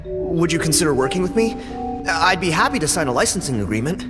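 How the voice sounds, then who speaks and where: A haughty, opportunistic male researcher in a video game. His animated voice trails long reverb beneath very loud music. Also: a little high, fast. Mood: flattering.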